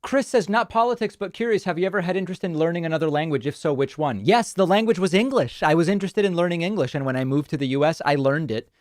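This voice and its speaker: Man hosting a live talk show, dry asides, first language spanish, fairly high voice, dead-dry close mic. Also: expressive, fast talker, hardly any pauses, no echo. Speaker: host.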